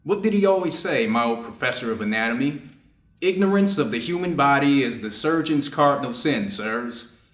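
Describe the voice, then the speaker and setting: The room rings around a mid-pitched male voice. It is a man acting a stern, conflicted Japanese doctor in a readers' theater drama, giving orders over a wounded enemy. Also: expressive, normal speed, close to an everyday voice. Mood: absorbed, conflicted. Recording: echoey room.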